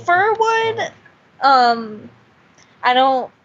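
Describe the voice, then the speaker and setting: A woman's voice: high-pitched, big pitch swings, very slow. A woman chatting casually as co-host of a fan video, hesitant and often correcting herself. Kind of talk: casual chat. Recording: dull lo-fi sound, music behind.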